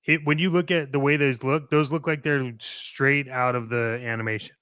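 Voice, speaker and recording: Bright, clear-voiced man co-hosting casually. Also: mid-range voice, fairly even intonation, moderate pace, no echo, studio quality. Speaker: co-host of a toy collecting show. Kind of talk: casual chat and opinion.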